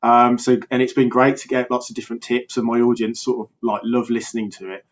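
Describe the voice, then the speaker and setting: A man's voice: medium pitch, level tone, fast talker. Birmingham-accented man, chatty guest. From England. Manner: chatty, laughs often, many restarts. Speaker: podcast guest, health coach. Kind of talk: casual interview chat.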